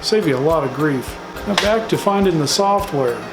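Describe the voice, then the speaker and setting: A man's voice: on the high side, lively intonation, normal speed. A man in his forties talking casually to camera, plain-spoken and mildly grumbling, as an amateur radio vlogger. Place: small home radio room. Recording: roomy echo, music behind.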